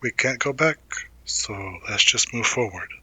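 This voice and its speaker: A man's voice: medium pitch, expressive, unhurried, bright, forceful tone, slightly rough, dry recording, heavily acted, poor audio. A man playing a caring, protective college student sibling in an intimate audio roleplay, casual and earnest. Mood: tender, apologetic.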